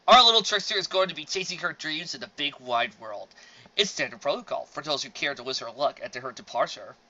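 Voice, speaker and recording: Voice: mid-range voice, expressive, a little fast, bright, forceful tone, muffled recording. A reader voicing a proud, formal male pony father who speaks with stiff formality.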